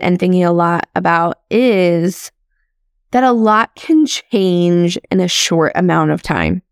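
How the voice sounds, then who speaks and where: A woman in her thirties hosts her own podcast and talks through personal reflections. She speaks in a chatty, upbeat way with casual fillers and an unhurried pace. The sound is dry and close to the mic. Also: mid-range voice, gravelly, dry recording. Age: 30s. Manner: chatty and upbeat, with frequent "like" and "crazy". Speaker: podcast host.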